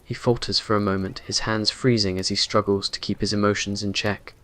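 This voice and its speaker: A man's voice: on the low side, level tone, fairly quick, a bit bright. A man reading scripted dramatic narration for a romantic ASMR roleplay in an earnest, brooding way. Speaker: story narrator.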